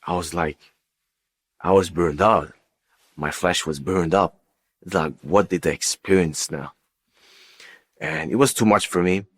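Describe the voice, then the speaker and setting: Deep-voiced man telling a personal story. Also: slightly rough. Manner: halting, repetitive, emphatic. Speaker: guest giving a personal testimony.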